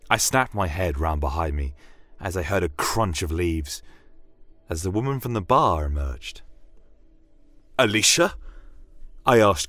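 Male storyteller, low register, deliberate pace, dramatic first-person fantasy narration. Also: fairly bright tone, no echo. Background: fantasy ambient music.

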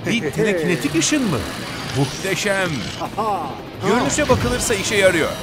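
A man doing a bright, lively cartoon helper. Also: sits high, normal speed. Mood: cheerful. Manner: upbeat, encouraging, questioning. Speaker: narrator and helper character in a children's cartoon. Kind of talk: dubbed children's cartoon dialogue. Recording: studio voice under loud music.

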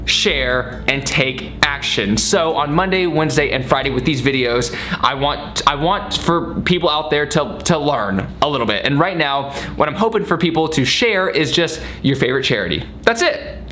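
A man's voice: fairly high pitch, normal speed, hardly any pauses, a little hiss or hum. Upbeat young man vlogging to camera. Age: 20s. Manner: energetic, gestures a lot while talking. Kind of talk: casual vlog to camera. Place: small bedroom studio, indoors.

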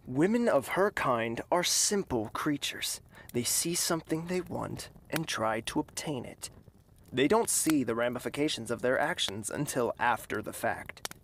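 A woman's voice: very deep voice, lively intonation, a little slow, slight background noise, heavily acted. A woman playing a haughty, scheming young prince in an audio drama, cool and arrogant. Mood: smug, cold.

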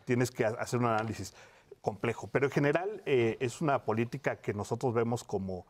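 Halting middle-pitched man. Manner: hesitant, halting, self-correcting. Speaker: academic researcher, studio guest. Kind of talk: televised panel interview, explanatory. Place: television studio panel desk. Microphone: lapel.

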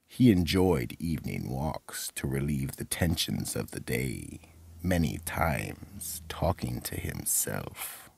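An extremely deep male narrator with a heavy fry in the voice hosts a radio mystery theatre. He is slow and deliberate, delivering the script with ominous drama. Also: big pitch swings, a little mellow. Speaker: narrator and horror anthology host.

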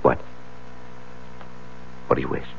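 A man's voice: takes it slowly, dull audio, slight background noise, natural voice. A man acting in a 1970s radio drama as a troubled, impassioned lawyer husband, on an old broadcast recording. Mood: subdued. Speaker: radio drama lead actor and narrator.

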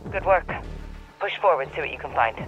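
An actress playing a cool, authoritative intelligence handler in a video game. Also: female voice, low voice, animated, fairly quick, muffled recording, faint music behind. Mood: cool, commanding. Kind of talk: video game cinematic acting.

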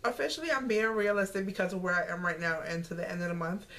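A woman's voice: fairly low pitch, steady tone, fast, a bit bright. A woman in her thirties chatting casually in a makeup tutorial, her voice stuffy and nasal from a cold, talking straight to her viewers from a webcam at home. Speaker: beauty vlogger. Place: bedroom at home, indoors.

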